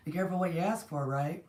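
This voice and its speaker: A woman hosting a spiritual livestream, talking casually with a strained, partly lost voice, greeting viewers between rambling thoughts. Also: fairly low pitch. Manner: rambling, warm greetings to viewers, many restarts. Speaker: host of a spiritual platform. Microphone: distant mic, no proper setup. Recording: echoey room, voice from far off.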